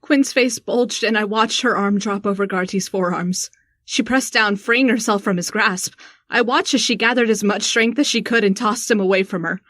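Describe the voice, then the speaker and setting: A woman playing a shaken, sharp-tongued young woman in a first-person audio drama. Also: mid-range voice, normal speed, no room sound, studio quality, close to an everyday voice. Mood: tense narration.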